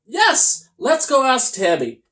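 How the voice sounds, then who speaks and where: A storyteller voicing an anxious, excitable male unicorn who pleads and shouts in a childlike, frantic way. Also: high voice, slow pace, pitched above the performer's own voice, exaggerated voice. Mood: excited, pleading.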